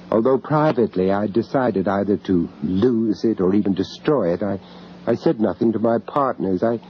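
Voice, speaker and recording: A man acting a haunted, anxious British art dealer, narrating a radio horror tale with grave, halting dramatic delivery. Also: lowish voice. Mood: uneasy. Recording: dull, noisy old radio recording.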